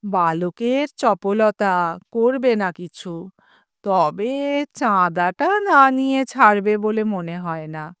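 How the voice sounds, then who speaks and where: A woman voicing a calm, suave gentleman neighbour in an audio story, softly spoken and gently mocking. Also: medium pitch, slow pace, clear, resonant tone, no room sound, clean studio sound. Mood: placating. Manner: unruffled, soft, gently mocking.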